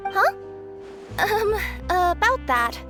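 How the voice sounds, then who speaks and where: A woman voicing a cheerful, cute young courier character in a video game, chirpy and expressive. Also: extremely high pitch, very slow, faint music behind, full character voice. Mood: hesitant.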